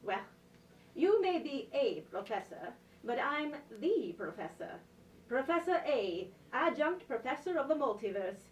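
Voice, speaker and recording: Radio play, roomy distant mic: haughty eccentric multiverse professor, theatrical, very expressive. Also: female voice, sits high, measured pace, a little hiss or hum. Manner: pompous, dramatic.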